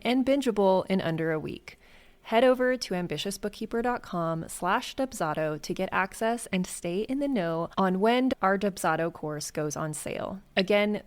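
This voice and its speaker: A woman podcast host with a soft, soothing, laid-back way of talking, chatting casually with a guest and reading the show's credits and ads. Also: lowish voice. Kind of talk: casual chat.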